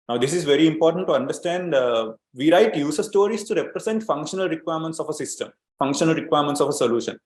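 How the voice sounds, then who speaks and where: A man's voice: fairly high pitch, echoey room. A man from Sri Lanka presenting an online training webinar as an agile coach, explaining steadily with many fillers and repeated words.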